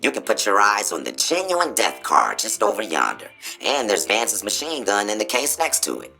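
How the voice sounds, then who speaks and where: Deep gravelly cowboy robot. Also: male voice, huge pitch swings, normal speed, pushed and bright, soft background music, heavily acted. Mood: boastful storytelling. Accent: American cowboy drawl. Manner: folksy, showy, exclamatory. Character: folksy cowboy robot, boastful casino spokesbot. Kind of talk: theatrical Old West tall-tale patter.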